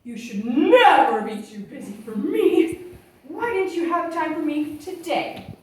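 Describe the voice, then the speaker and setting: A woman's voice: high voice, animated, measured pace, darkish tone. A woman voicing a bossy, reproachful talking Bible in a church puppet show, admonishing and instructing with emphasis. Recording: echoey room, distant mic, faint noise.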